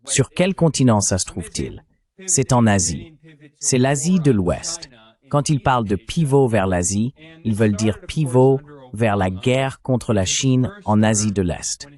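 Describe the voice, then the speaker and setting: A man's voice: on the low side, big pitch swings, unhurried, darkish tone. A man voicing a French translation of a political analyst's explanatory interview commentary.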